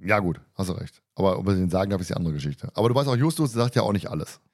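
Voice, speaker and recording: A man's voice: low-pitched, lively intonation, very fast, darkish tone. A man co-hosting a casual discussion, talking in a colloquial, teasing way. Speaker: podcast co-host. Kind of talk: casual discussion of an audio drama.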